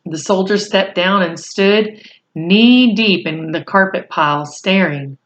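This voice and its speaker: A woman reading a storybook aloud as narrator, in measured, deliberate phrases. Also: mid-range voice, dull audio.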